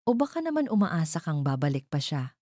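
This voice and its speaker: A woman's voice: low voice, sing-song, a little fast, darkish tone, dry recording, clean studio sound. Woman voicing a cold, controlling, curt male CEO. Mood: cold, stern.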